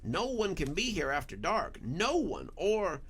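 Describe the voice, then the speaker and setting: Man voicing a frightened, insistent mall worker, pitch swooping high. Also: very animated, fairly bright tone, slightly rough, heavily acted. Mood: alarmed, insistent. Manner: urgent, emphatic, halting. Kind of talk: audiobook horror story dialogue.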